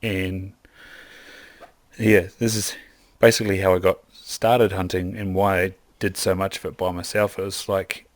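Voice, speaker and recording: A man's voice: deep voice, little pitch movement, a little slow, no room sound. A young man, talking as a podcast guest, rambling eagerly with lots of "like" and restarts. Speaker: podcast guest, hunter and optometrist. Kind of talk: casual interview chat.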